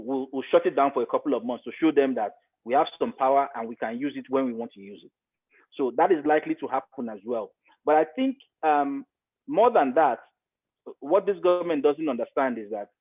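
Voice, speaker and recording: A middle-aged man with a Nigerian accent giving conversational commentary as an interview guest, emphatic and repeating phrases to press his point. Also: medium pitch. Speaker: interview guest, media expert. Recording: phone-like thin radio-style sound.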